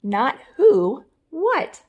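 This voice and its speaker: A stubborn young storybook boy, acted out by a woman, always defending himself. The voice is high and very animated, with slow, insistent emphasis on the words. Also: dull audio, exaggerated voice. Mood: exasperated.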